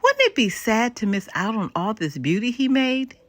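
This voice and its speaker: A woman voicing a kindly, wise grandmother in a children's storybook, with an emphatic, gently teaching delivery. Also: a little high, huge pitch swings, moderate pace, no echo, pitched above the performer's own voice. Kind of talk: children's storybook read-aloud.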